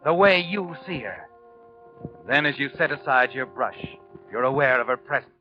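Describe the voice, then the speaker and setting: An actor playing a sinister, knowing narrator of a 1940s radio suspense show, ominous and taunting. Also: male voice, mid-range voice, animated, moderate pace, lightly acted. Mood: ominous, suspenseful. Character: sinister, knowing male narrator addressing the guilty protagonist. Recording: dull 1940s radio recording. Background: dramatic music.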